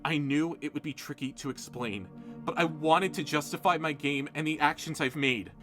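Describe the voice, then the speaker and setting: A man's voice: on the high side, moderate pace, a bit bright, soft background music, natural voice. A man voicing a defensive female contestant who pleads and stammers through her apologies. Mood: earnest.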